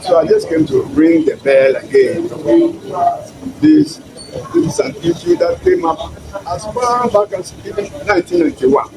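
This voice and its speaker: An older man with a Ghanaian accent giving his views in an interview, with emphatic, deliberate phrasing. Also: on the high side. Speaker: emeritus professor and interviewee. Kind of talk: spoken commentary in an interview. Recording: clearly noisy location sound.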